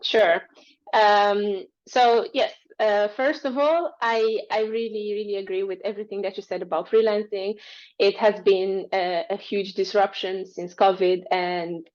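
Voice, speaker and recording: A woman's voice: mid-range voice, darkish tone. A woman from Romania, a freelancer guest, answering interview questions in a friendly, fluent way with some fillers. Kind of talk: interview answers over a call. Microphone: video call. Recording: dull lo-fi call audio.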